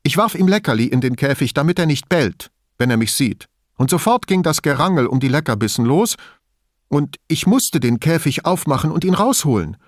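An agitated woman in an audiobook , acted out by a male reader, pitched high and swinging widely in tone. She is theatrical and defensive, with spite and indignation pressed into emphatic words. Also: animated, brisk, dry recording, studio quality, close to an everyday voice. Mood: defensive, pleading. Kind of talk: audiobook character dialogue.

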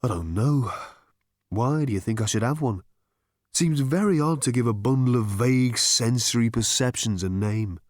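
A man voicing a gentle, vague philosophical hermit in a storybook, speaking politely in a mild, detached, musing way. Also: mid-range voice, normal speed, dry recording, studio quality, close to an everyday voice.

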